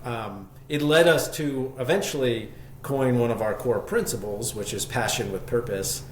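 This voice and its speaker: A man with a mid-pitched voice talks as an interview guest, reflective and enthusiastic. He tells his story conversationally. The room is clearly echoey, with faint noise behind him. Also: normal speed. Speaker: podcast guest, shop co-founder.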